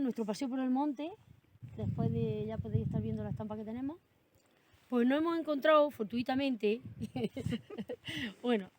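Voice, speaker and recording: A woman's voice: a little high, darkish tone, slight background noise. Upbeat woman hosting outdoors. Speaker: host. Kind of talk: outdoor interview intro. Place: outdoors in the countryside.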